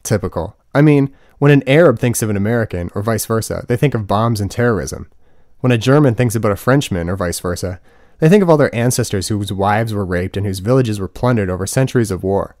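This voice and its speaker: A man's voice: lowish voice, lively intonation, brisk, a little mellow, dry recording, clean studio sound, close to an everyday voice. A man reading the part of an indignant, lecturing college woman in an audiobook, in a pointed, argumentative manner.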